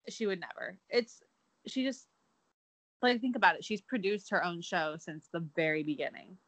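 A woman's voice: fairly low pitch, animated, moderate pace, a bit bright, dry recording, poor audio. A young woman co-hosting a casual gossip chat, wry and giggly, hedging with lots of likes and I means. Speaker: podcast co-host.

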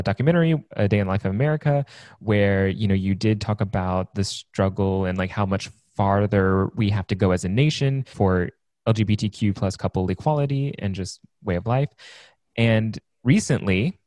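A man's voice: lowish voice, a little mellow, dry recording. A man hosting a podcast, asking his guest questions in an enthusiastic, admiring way. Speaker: podcast host. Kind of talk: casual interview chat.

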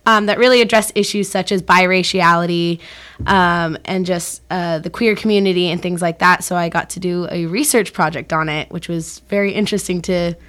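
Young American woman, slightly low voice, casual. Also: fairly even intonation, moderate pace, fairly bright tone, smooth. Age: 20s. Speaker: guest, young actor. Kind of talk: casual interview answers. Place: radio studio.

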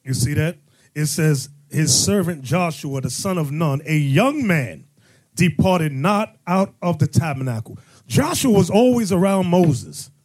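A man's voice: fairly high pitch, lively intonation, a little slow, darkish tone. A man teaching a congregation as bishop, preaching with call-and-response questions and sudden emphatic bursts. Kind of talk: sermon-style teaching.

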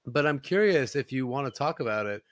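A man of medium pitch hosts an interview in a casual, conversational way. His voice is recorded dry, with hardly any room sound around it. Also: lively intonation, fairly quick, no echo. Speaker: podcast host.

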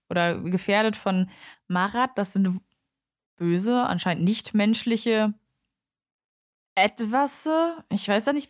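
A young adult woman, a native German speaker, giving a casual, chatty book review in an informal, candid way, often correcting herself. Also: mid-range voice.

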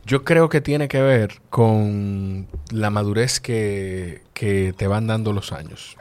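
Low-voiced man hosting a relaxed chat. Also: slow. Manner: relaxed, short backchannel replies. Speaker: podcast host. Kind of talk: casual interview chat.

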